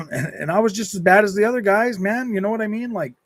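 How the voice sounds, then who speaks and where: Male streamer, early 40s, voice a touch high, casual rambling delivery full of slang, dry sound. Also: fairly quick, fairly bright tone, no echo. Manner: rambling, repetitive, slangy, calls listeners dude, man and bro. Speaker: gaming channel streamer. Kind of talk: casual livestream chat, answering and reading out viewer comments.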